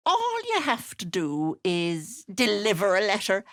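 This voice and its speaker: Wildly swooping, sly old auntie hag. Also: female voice, mid-range voice, huge pitch swings, measured pace. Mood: sinister bargaining. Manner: cooing, wheedling endearments with sudden menace. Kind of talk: theatrical video game character acting.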